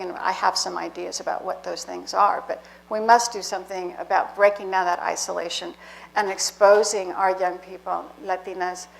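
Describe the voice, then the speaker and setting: A woman on a university panel speaking earnestly and deliberately about her school years and about education. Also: medium pitch, vocal fry, rough recording. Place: lecture hall panel.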